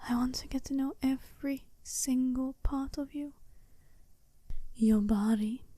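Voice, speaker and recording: A woman voicing a seductive, self-assured werewolf bride in an ASMR roleplay, soft-spoken and teasing, often slipping into a breathy whisper. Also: sits high, fairly even intonation, dry recording. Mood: earnest, seductive. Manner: slow, teasing, deliberate, intimate.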